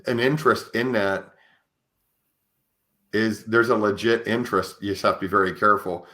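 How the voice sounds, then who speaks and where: A man hosting a conversational interview, speaking in a halting way as he searches for his words and shares his own thoughts. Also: fairly low pitch, steady tone, bright, forceful tone. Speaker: podcast host. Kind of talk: conversational interviewing with personal commentary.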